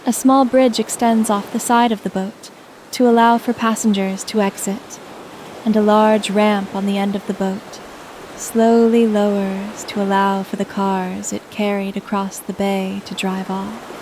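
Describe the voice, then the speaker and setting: A woman narrating a scripted guided sleep meditation in a soothing, gentle manner. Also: medium pitch, flat delivery, measured pace, a little hiss or hum, poor audio.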